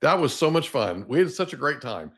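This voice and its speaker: A man's voice: a little high, sing-song, a little fast. A middle-aged American man from Oklahoma hosting an interview podcast in a casual, chatty, folksy way that wanders into anecdotes. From Oklahoma, United States. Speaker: podcast host.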